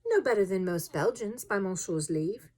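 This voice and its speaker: A woman voicing a calm, philosophical manservant in an audiobook story, formal, polite and unruffled. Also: medium pitch, normal speed, lightly acted. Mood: deferential. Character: calm, philosophical, deferential Belgian manservant, male.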